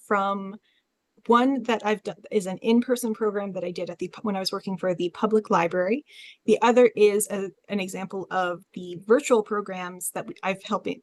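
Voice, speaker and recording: A woman who is a librarian giving an informal presentation as a panelist on a webinar. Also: mid-range voice.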